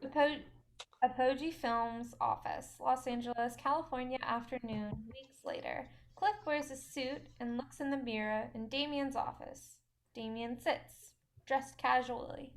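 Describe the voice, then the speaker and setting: A woman's voice: high-pitched, fairly even intonation, a little slow. A woman reading out stage directions as scripted narration for a staged play reading.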